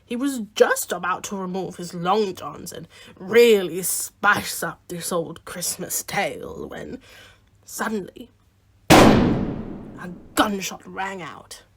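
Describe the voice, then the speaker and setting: A woman narrating a radio play as a storyteller, theatrical and breathy. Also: lowish voice, slow pace, a little hiss or hum. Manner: theatrical, hushed at times.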